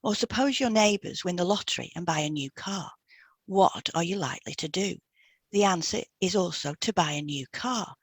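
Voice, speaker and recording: A woman's voice: lowish voice, animated, normal speed, slightly rough, no room sound, clean studio sound. A woman co-hosting an educational podcast, reading from a prepared script. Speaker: podcast co-host, hypnotherapist.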